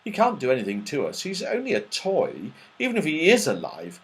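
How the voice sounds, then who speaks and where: A man's voice: a little high, huge pitch swings, a little fast. A man voicing a sensible, cautious boy in a children's storybook, earnest and reasoning. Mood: reassuring.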